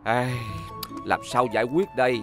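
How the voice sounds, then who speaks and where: Guy playing worried old shopkeeper in a kids cartoon, middling pitch, music behind him. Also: normal speed, close to an everyday voice. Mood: fretful. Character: modest, fretful elderly shopkeeper, cartoon townsman. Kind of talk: animated children's dub acting.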